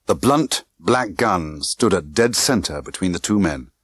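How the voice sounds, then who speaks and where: Male narrator for spy thriller audiobook: low register, highly animated range, dry close sound, suspenseful clipped phrasing. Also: a little slow, slightly rough, no echo. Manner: tense, theatrical delivery with clipped short phrases. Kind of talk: dramatic scripted narration of a spy thriller novel.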